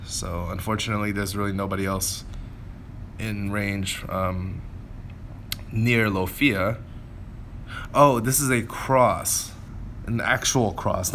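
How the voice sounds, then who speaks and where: A man with a fairly low voice talks through gameplay casually. He thinks aloud and explains things as he goes, over clearly audible background noise. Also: a bit bright. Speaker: gameplay commentator. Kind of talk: casual game commentary.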